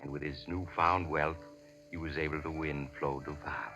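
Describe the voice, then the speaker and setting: An actor playing the grave storyteller of a 1940s radio mystery, narrating in a measured, dramatic manner. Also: male voice, a bass voice, fairly even intonation, background noise. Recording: dull old radio recording. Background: music.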